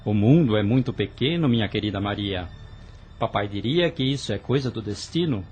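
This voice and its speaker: A man's voice: fairly low pitch, animated, moderate pace, darkish tone, husky. A man voicing a young brother in an audio drama, earnest and hesitant as he confesses. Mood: tense. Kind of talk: radio drama acting.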